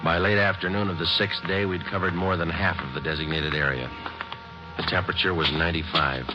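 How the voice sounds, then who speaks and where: An actor playing a dogged, weary police detective who narrates his own case in a flat, clipped deadpan, in a 1950s radio drama. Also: low voice, brisk, over loud music, lightly acted. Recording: dull old radio recording.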